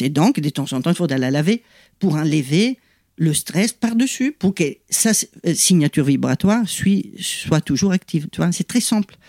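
A woman's voice: fairly low pitch, dry recording. Italian-accented woman explaining in a dry room. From Italy. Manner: animated and explanatory, frequent "tu vois" asides and restarts. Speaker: guest, lithotherapist. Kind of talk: conversational interview explanation.

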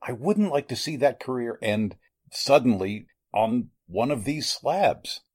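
An actor playing a sinister, courteous elderly doctor in a 1940s-style radio mystery, speaking formally and deliberately with a husky voice. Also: male voice, mid-range voice, lively intonation, darkish tone. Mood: grave, courteous. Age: older. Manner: formal, deliberate, menacingly polite.

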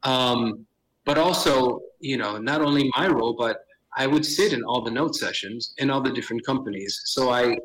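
A man's voice: mid-range voice, normal speed, a bit bright, slight background noise, low-quality recording. A middle-aged man from Miami, a theater director talking as a podcast guest, with frequent restarts and Spanish phrases mixed in. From Miami, United States. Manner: many restarts and fillers, sprinkles Spanish phrases. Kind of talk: casual interview conversation.

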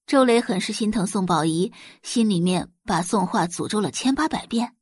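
A woman reading scripted story narration as an expressive audiobook narrator. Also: medium pitch, moderate pace, breathy edge, dry recording, studio quality.

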